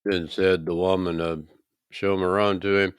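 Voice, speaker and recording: A man giving a solemn, scripted reading of scripture, with measured, emphatic and dramatic phrasing. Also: sits low, little pitch movement, clear, resonant tone, dry recording, clean studio sound. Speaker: scripture reader.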